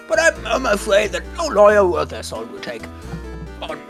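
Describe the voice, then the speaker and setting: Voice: medium pitch, huge pitch swings, unhurried, creaky, fairly bright tone, soft background music, heavily acted. An amateur actor voicing a pompous, evasive older male lawyer in a comedic game dub, stammering and repeating himself. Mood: evasive, boastful.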